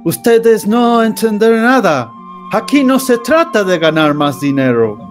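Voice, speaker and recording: A man's voice: high voice, big pitch swings, unhurried, faint music behind. A man playing an arrogant, contemptuous British banker in an amateur radio drama, lecturing the others. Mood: scornful. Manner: lecturing, scornful.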